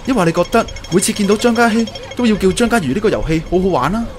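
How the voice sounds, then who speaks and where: A man's voice: high-pitched, expressive, rapid-fire. Man acting an earnest young Hong Kong man. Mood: hurt, accusing. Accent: Hong Kong Cantonese. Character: earnest, regretful young man confronting his love. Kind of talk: Cantonese radio drama acting.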